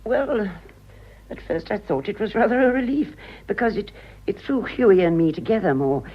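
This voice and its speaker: An actress playing a vain, self-pitying ageing romantic in a British radio drama, confiding dramatically and halting for effect. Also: female voice, mid-range voice, animated, husky, muffled recording. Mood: wistful, worldly. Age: older.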